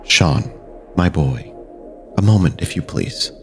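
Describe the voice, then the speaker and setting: A man performs an undead vampire sire in a tabletop horror game. The voice is very low and measured, laid over faint music. He is formal and patronising, a silky manipulator playing the loving father. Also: expressive, a bit bright, exaggerated voice. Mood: silky, coaxing. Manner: formal, measured, silkily persuasive.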